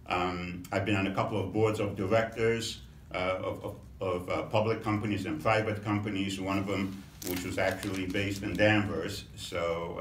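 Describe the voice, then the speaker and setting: A middle-aged man from Romania, a congressional candidate, giving a measured campaign introduction with frequent pauses and fillers. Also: deep voice, fairly bright tone, slight background noise. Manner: measured, halting, many fillers. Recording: echoey room, mic at a distance.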